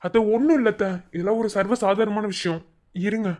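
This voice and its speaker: A man playing a practical, steadying young family member, speaking haltingly and reassuringly in a family drama. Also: sits high, a little mellow, close to an everyday voice. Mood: uneasy explaining.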